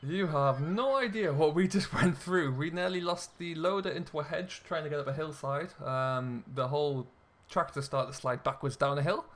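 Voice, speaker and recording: A man's voice: fairly high pitch, normal speed, few pauses, a bit bright. A man hosting a gaming video, giving casual role-play commentary as he plays a farming simulator. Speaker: host of a gaming video series.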